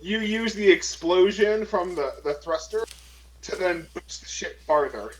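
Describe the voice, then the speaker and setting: Higher-voiced man playing a tabletop game. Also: animated, a little slow, low-quality recording. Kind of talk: casual game-table chat and role-play.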